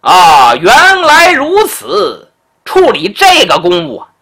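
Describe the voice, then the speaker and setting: A storyteller voicing a brash noble man, the emperor's brother, who talks loudly and bossily in drawn-out questions. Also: high-pitched, huge pitch swings, exaggerated voice. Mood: haughty, bossy. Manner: loud, bossy, drawn-out questions.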